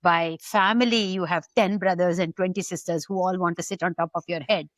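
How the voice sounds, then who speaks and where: A middle-aged woman co-hosting a podcast, effusive and exclamatory, quick to laugh. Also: fairly low pitch, steady tone, no echo, studio quality. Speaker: co-host. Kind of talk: casual podcast chat.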